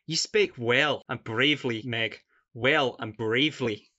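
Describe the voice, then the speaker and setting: A man's voice: medium pitch, a little slow, pushed and bright. Man playing a sentimental Scottish lad, dull recording. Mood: tearful, grateful. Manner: sentimental, declamatory. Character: earnest, mawkish young Scottish peasant lad in love. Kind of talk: farcical radio play acting.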